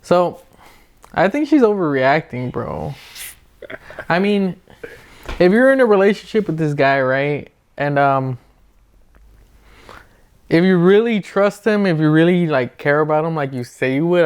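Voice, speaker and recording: A man's voice: a little high, expressive, unhurried, pauses often, clear, resonant tone. A man hosting a casual advice podcast, talking and debating in an informal, slangy way. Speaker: podcast host.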